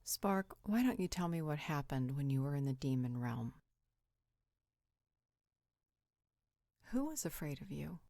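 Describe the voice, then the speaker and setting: A woman's voice: low-pitched, very animated, fairly quick, gravelly, warm tone, dry recording, clean studio sound, exaggerated voice. A woman playing a perceptive, frank confidante in an audio roleplay, reasoning in a direct, insistent way. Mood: probing.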